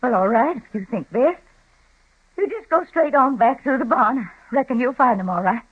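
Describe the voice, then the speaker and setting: An actress playing a weary older frontier mother on a vintage radio western, speaking softly in a plain rural dialect. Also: on the high side, expressive, normal speed, breathy edge. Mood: polite. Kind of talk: 1950s radio western acting. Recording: tinny, narrow old radio broadcast.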